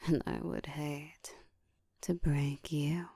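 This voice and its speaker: A woman playing a seductive, domineering ancient vampire in an intimate ASMR roleplay, speaking in a slow, breathy whisper with a teasing, commanding manner, close to the mic. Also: deep voice, level tone, dark tone, dry recording, heavily acted. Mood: commanding, menacing. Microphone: close microphone.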